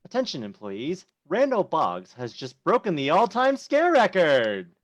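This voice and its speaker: A man's voice: on the high side, huge pitch swings, a little slow, highs cut off. A man playing a bossy, indignant female monster girlfriend with a demanding, dramatic delivery in a script read. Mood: announcing. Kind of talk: cast table read of a film script.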